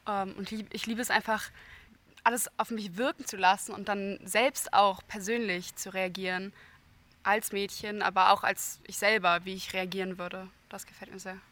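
A woman's voice: mid-range voice, level tone, normal speed, pushed and bright. A young woman, a soprano from Berlin, answering eagerly in an interview. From Berlin, Germany. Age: young adult. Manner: eager, repeats words like "total". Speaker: soprano singer.

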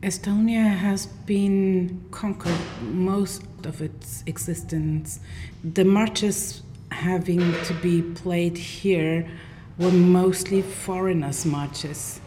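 A woman interviewer asking measured, thoughtful questions. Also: lowish voice, fairly even intonation, mellow. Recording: faint background noise.

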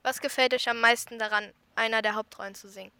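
A woman hosting a podcast interview, asking clear, scripted questions. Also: fairly high pitch, steady tone, fast talker, sharp tone.

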